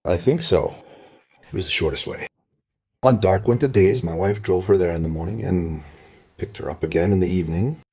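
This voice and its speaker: Husky, deep-voiced grieving father. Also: normal speed, warm tone. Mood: grieving, subdued. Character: grieving, well-off father, subdued and polite. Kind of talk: crime radio play acting.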